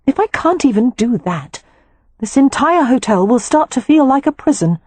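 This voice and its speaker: Young wife, frank and restless, read by a woman narrator. Earnest, lively delivery. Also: high voice, breathy edge, dry recording, pitched above the performer's own voice, exaggerated voice. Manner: earnest, quick to object.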